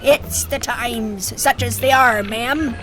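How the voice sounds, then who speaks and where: High, forceful pirate first mate. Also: female voice, takes it slowly, soft background music, some chatter behind. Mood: brisk, dutiful. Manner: brash, folksy. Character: eager scrappy first mate pirate dog. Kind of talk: comic audio drama pirate acting.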